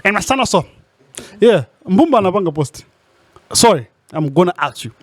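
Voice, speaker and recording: A Malawian man co-hosting a podcast, playful and laughing, swearing casually in relaxed chat. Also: sits high, brisk. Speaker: podcast co-host.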